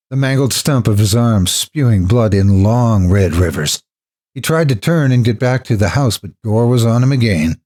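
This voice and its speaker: A man narrating scripted horror with deliberate, theatrical phrasing, as a storyteller and host. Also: lowish voice, darkish tone. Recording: music behind the voice. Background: background music.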